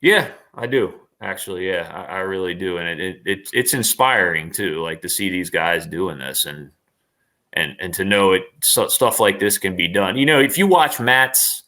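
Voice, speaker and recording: A man's voice: low-pitched, animated, moderate pace, sharp tone. A man talking casually as an interview guest, enthusiastic and rambling, often repeating his phrases. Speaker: interview guest, online performer.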